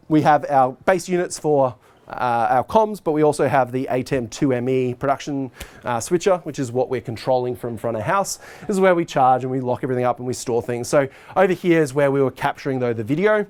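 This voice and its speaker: A man's voice: medium pitch, darkish tone. A man in his forties with an Australian accent, explaining things informally in a casual behind-the-scenes walkthrough. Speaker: high school arts and IT head of department giving a tour. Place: indoors, school corridor and tech room. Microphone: lapel mic.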